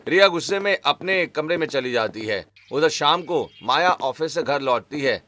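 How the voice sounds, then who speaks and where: A man's voice: on the high side, animated, moderate pace, sharp tone. A man reading scripted narration for a cartoon family story.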